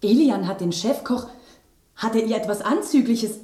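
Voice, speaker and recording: An actress in a German radio drama playing a vain, genteel rose, with an affected and dramatic way of speaking. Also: female voice, on the high side, expressive, moderate pace, dark tone, reverberant, natural voice. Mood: excited, shocked. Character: talking rose, female, vain, genteel, easily scandalized.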